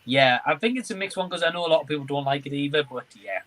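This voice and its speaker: A man's voice: fairly high pitch, fast, fairly bright tone, slight background noise. A man hosting a livestream, chatting informally and agreeably, rambling a little and reading out comments. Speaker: livestream host. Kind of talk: casual livestream chat, reading out viewer comments.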